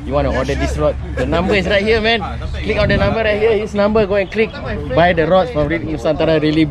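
A man's voice: sits high, quick pace, a loud crowd. Hyped man hosting outdoors. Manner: hyped, promotional. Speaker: host and interviewer. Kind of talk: upbeat outdoor vlog interview. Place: outdoor park by the water.

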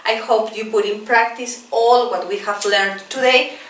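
A woman in her forties with a Spanish accent, teaching English phrases at a whiteboard and repeating each one slowly and clearly. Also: fairly high pitch, steady tone, fairly bright tone. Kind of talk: bilingual English lesson, drilling phrases. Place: small classroom in front of a whiteboard. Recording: roomy, distant camera audio.

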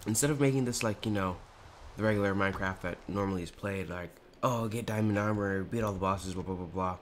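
A teenage boy talks through his game in a hoarse, raspy voice that sits a little low and keeps sliding into gravelly fry. He rambles along with plenty of fillers in a casual, chatty way. Keyboard clicks come through clearly behind him. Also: slight background noise. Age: teens. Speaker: gaming YouTuber. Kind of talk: casual Minecraft commentary.

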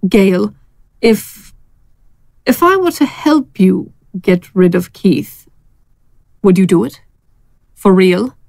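A woman playing a sardonic, seductive and protective secret lover in an audio drama, speaking in a teasing, pointed, deliberate way. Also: medium pitch, animated, creaky, darkish tone, no echo. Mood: earnest, hurt.

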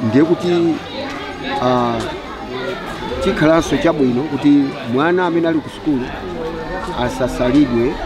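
A man speaking deliberately, phrase by phrase, in an outdoor documentary interview. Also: a little high. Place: outdoors in a yard. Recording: busy crowd chatter behind. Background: crowd.

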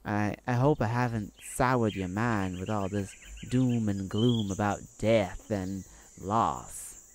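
Woman playing a folksy, slow-talking neighbor man. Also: rumbling low, a little mellow, a little hiss or hum. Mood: wistful, folksy. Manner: folksy, halting, sighing between thoughts. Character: kindly, hesitant male former neighbor telling a sad tale. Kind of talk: ASMR roleplay storytelling.

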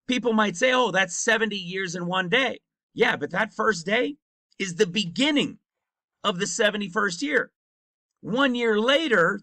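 A man in his fifties gives an emphatic Bible teaching over a screen share, often checking in with his listeners. His voice sits high and bright. The sound is dry and close. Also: no echo. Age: 50s. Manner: emphatic, repeats points and asks "right?".

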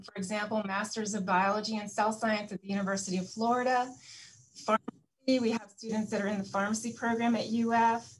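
A woman's voice: medium pitch, rough recording. A middle-aged woman in an office explains her college programs over a webcam, repeating "in other words". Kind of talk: answering questions. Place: office indoors. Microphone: video call webcam.

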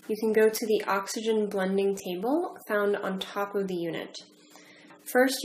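Woman, instructor, step-by-step demonstration, measured delivery, mid pitch, faint room noise behind. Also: rough recording. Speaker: medical device training instructor.

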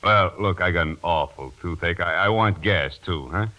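Chummy, smug small-town guy played by a man, low voice, folksy, dull 1940s radio sound. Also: normal speed, lightly acted. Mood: complaining.

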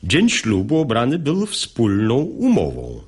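An actor playing an earnest, reasonable gentleman in a radio play of rhymed verse, measured and insistent as he probes. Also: medium pitch, huge pitch swings, husky, full character voice. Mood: probing. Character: earnest, reasonable, probing brother-in-law, a gentleman.

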